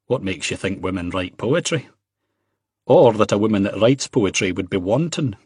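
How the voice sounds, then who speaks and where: A man voicing a guarded, wry young Scottish man in an audiobook, quiet, restrained and terse. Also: lowish voice, lively intonation, moderate pace, slightly rough, no room sound, studio quality.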